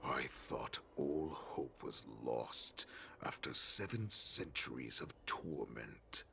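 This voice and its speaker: A man voicing an ancient captive wolf-beast warlord in a video game, growling with slow, taunting, theatrical menace. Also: very deep voice, very animated, sharp tone, faint music behind, exaggerated voice. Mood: grim reverence. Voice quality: gravelly, growling. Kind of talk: dramatic video game voice acting.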